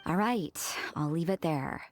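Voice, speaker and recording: A woman's voice: low-pitched, animated, a little slow, background noise. A woman playing a blunt, aloof young church sister in a video game, with a dry, unsentimental delivery. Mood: blunt, serious.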